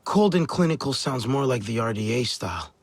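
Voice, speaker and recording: An actor playing a calm, sympathetic male hero in a video game. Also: medium pitch, a little fast, husky, no room sound. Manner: short, calm replies.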